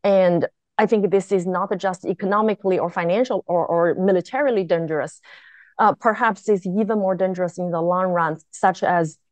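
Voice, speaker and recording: A woman in her thirties from China with a Chinese accent, explaining as an expert panelist in an academic webinar, halting and stressing key words. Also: lowish voice, darkish tone, no room sound. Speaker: foreign policy expert, panelist. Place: small room at home or office, indoors, on a video call. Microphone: wired earphone headset on video call.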